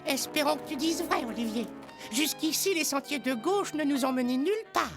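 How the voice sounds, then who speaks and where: Eager, boyish rabbit hero. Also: female voice, sits high, normal speed, over music. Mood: determined. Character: earnest, determined young male rabbit hero. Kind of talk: animated children's film dub.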